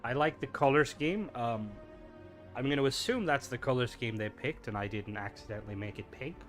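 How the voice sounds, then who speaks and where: A man's voice: medium pitch, fairly quick. Rambling playful male streamer. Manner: rambling, filler-heavy, playful. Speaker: streamer host. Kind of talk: casual live-stream chat. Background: faint game music.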